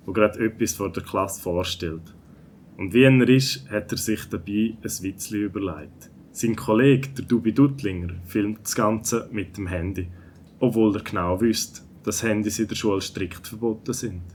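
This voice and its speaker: A man's voice: lowish voice, moderate pace, slight background noise. A man with a Swiss German accent narrating a scripted children's story.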